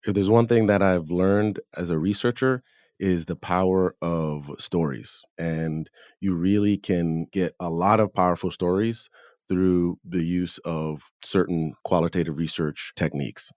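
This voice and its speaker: A man with a deep voice hosts an academic discussion in a dry, echo-free recording. He talks in a conversational, reflective way, developing the points under discussion as an expert interviewer. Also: fairly even intonation, a little slow, darkish tone. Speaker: podcast host, dermatologist.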